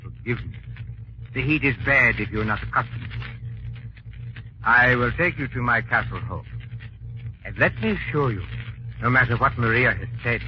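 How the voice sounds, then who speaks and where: An actor playing a sinister, smooth-talking scientist with menacing courtesy and deliberate pauses in 1940s radio style. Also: male voice, mid-range voice, close to an everyday voice. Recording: noisy, muffled 1940s radio recording.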